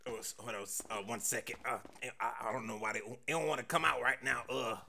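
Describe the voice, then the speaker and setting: A man voicing a bossy, easily flustered killer in an animated game parody, with slangy, blustering talk. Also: fairly high pitch, normal speed, gravelly, breathy edge, exaggerated voice. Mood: nervous, hesitant. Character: swaggering, nervous red impostor killer, smitten and bossy.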